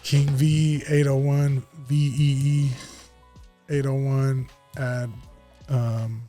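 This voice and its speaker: A man's voice: medium pitch, flat delivery, slow pace, dark tone, faint music behind. An American man in his late thirties chatting casually as a fighter on a podcast, slangy and swearing freely. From Utah, United States. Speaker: MMA fighter, podcast guest. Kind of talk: casual podcast interview chat.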